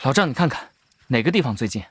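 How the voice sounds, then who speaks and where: A man's voice: medium pitch, very animated, quick pace, a bit bright, husky. An actor playing a young male cultivator in an audio drama, an earnest and wary traveller who talks in a probing, questioning way.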